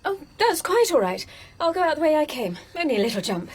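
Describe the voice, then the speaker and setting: An actress playing a plucky, polite English secretary with a breathy voice in a radio drama. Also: female voice, high voice, sing-song, fast. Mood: breezy, plucky. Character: plucky, polite British female secretary, breezily defiant.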